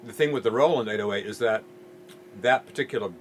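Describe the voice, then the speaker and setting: A middle-aged man from England, raised in Jamaica, giving relaxed interview answers in an easygoing, hesitant way. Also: fairly low pitch, quick pace, slight background noise. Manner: easygoing, hesitant with many ums. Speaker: music producer guest.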